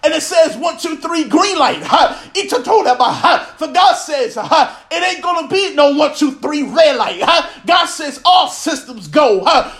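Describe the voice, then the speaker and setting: A man preaching prophecy in a fervent charismatic style, shouting short repetitive chanted phrases punctuated by grunted breaths. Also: very high voice, animated, moderate pace, few pauses. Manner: exclamatory, often shouting, repetitive.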